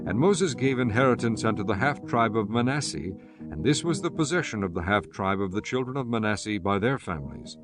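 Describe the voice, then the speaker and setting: A male narrator reads ancient scripture in a formal, solemn style. His voice sits on the low side and his delivery is measured. Soft instrumental music plays underneath. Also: animated. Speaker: audiobook narrator.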